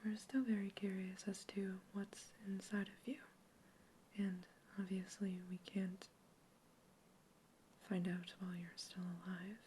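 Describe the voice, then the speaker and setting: A woman's voice: medium pitch, flat delivery, a little slow, a little hiss or hum, lightly acted, poor audio. A woman whispering as a curious alien scientist. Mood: calm curious. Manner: soft unvoiced whispering throughout; clinical, matter-of-fact questioning. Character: curious female alien scientist observing a human. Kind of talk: ASMR roleplay.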